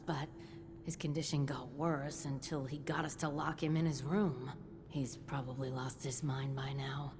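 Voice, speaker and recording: A weary, low-voiced vault resident. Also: female voice, background noise. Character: weary woman vault resident listing problems.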